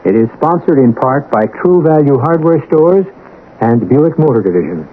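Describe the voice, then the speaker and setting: A man's voice: mid-range voice, animated, moderate pace. An American man reading a scripted sponsor announcement for a radio show. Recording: muffled narrow-band radio, clear noise.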